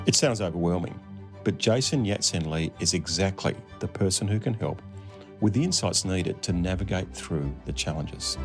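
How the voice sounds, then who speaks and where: Low-voiced male host narrating. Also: lively intonation, normal speed. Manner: polished, introducing guests. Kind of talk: scripted narration and interview questions. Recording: music playing underneath.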